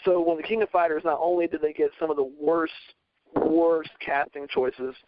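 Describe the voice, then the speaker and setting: Man, slightly high pitch, opinionated guest, long-winded, dry lo-fi sound. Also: fairly even intonation, normal speed, no echo. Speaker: returning panel guest. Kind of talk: casual opinionated discussion.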